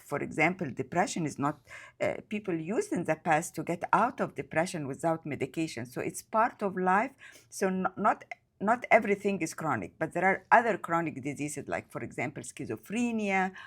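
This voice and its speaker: A woman's voice: lowish voice, animated, moderate pace, breathy edge. A woman in her fifties from Egypt, speaking English. She answers interview questions as a psychiatrist, hesitant, with many fillers and grammar. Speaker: psychiatrist guest.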